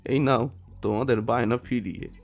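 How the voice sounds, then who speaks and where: A man's voice: medium pitch, normal speed, gravelly, lo-fi sound, soft background music, close to an everyday voice. A man playing a stubborn, hot-tempered poor villager in an audio story, agitated and blunt. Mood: defiant anger.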